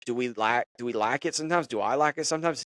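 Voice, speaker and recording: A man hosting a podcast, drifting from sports news into an informal sermon. He talks loosely, with lots of "you know" and restarts, at a middle pitch. The recording is dry and close, with no room sound. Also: quick pace, a bit bright, dry recording. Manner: conversational, preachy, many fillers. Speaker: podcast host. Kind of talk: casual devotional podcast talk.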